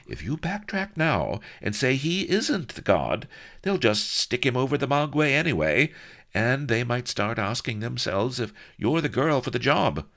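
A man's voice: medium pitch, big pitch swings, unhurried, vocal fry, a bit bright, pitched above the performer's own voice, exaggerated voice. A man voicing a worldly, practical young woman attendant who talks in blunt, slangy wisecracks. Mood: wisecracking.

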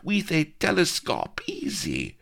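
A dreamy, easily frightened young woman squeaks in a very high falsetto, as a man puts her on. Her pitch jumps all over. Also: huge pitch swings, slow pace, vocal fry, a bit bright, full character voice. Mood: insistent.

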